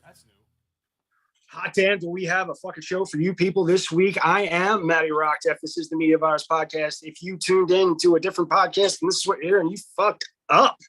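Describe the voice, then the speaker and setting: A man's voice: high voice, flat delivery, brisk, a bit bright, rough recording. A man hosting a podcast, joking, swearing and rambling in a loose, casual way. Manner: profane, rambling, jokey. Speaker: podcast host.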